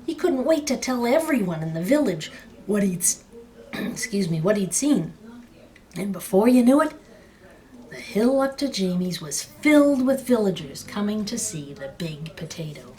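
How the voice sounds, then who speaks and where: Animated woman reading a story aloud. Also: medium pitch, normal speed. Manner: dramatic, emphatic phrasing. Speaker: storyteller reading a picture book. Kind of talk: expressive read-aloud story narration.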